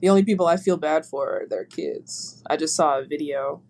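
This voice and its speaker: A woman guest on a casual podcast, joking and animated as she tells stories over a call. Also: sits low, moderate pace. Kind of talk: casual chat. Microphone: remote call.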